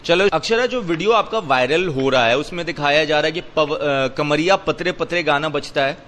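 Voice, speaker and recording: A man's voice: on the high side, normal speed, a bit bright. A man asking questions as an interviewer in a casual conversation, recorded from a phone call. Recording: phone call with faint noise.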